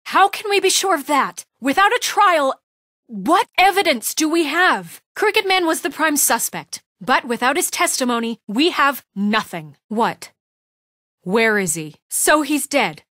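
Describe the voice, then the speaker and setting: A dry, close recording of a woman in charge of a troubled fairy-tale community. Her voice sits fairly high and moves widely in pitch. She is firm, earnest and insistent, often exasperated, in naturalistic video game drama style. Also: very animated, unhurried, sharp tone, dry recording, full character voice. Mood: frustrated, demanding.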